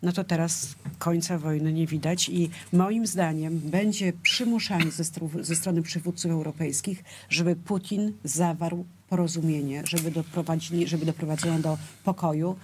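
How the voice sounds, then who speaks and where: An older woman commenting on a studio panel, pausing often with filler sounds between phrases. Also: sits low, steady tone, slight background noise. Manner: hesitant, many filler sounds. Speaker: journalist panel guest.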